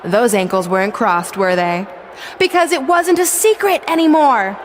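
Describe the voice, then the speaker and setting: A woman's voice: on the high side, moderate pace, a little hiss or hum, natural voice. A woman playing a vain, scheming wrestling villain in a video game, giving a smug, mocking, theatrical promo. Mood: smug gloating.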